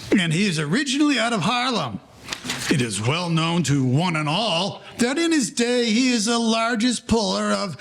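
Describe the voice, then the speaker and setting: A man's voice: sits high, fairly bright tone, slight background noise, full character voice. A man playing a wry 1940s Broadway storyteller, narrating a radio play in colloquial present tense.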